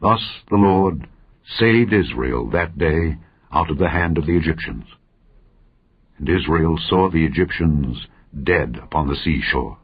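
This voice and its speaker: A man narrating an audio Bible in a solemn, measured, declamatory style, phrase by phrase. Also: very deep voice, expressive, husky, lo-fi sound. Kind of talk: solemn scripture reading.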